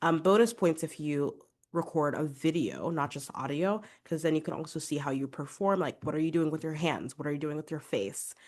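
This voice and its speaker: A woman's voice: sits low, fairly quick, hardly any pauses, darkish tone. A young adult woman, a vocal coach, giving chatty, encouraging podcast advice with a congested voice. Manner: chatty, encouraging, fillers like "like" and "right?".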